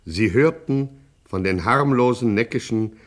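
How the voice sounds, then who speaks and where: An actor playing a bombastic storyteller, declaiming a mock-heroic gangster tale as radio narration. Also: male voice, mid-range voice, expressive, a little slow, natural voice. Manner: declamatory, grandiose.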